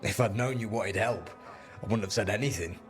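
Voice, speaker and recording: A man's voice: fairly low pitch, fairly quick, soft background music, natural voice. A man playing a blunt, streetwise resistance fighter in a video game, plain-spoken and sardonic. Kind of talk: video game character dialogue.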